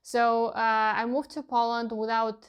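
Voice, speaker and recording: A young woman whose first language is Russian, talking candidly in a casual vlog and often pausing with fillers as she hunts for words. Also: a little high, little pitch movement, clear, resonant tone, muffled recording. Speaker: vlogger.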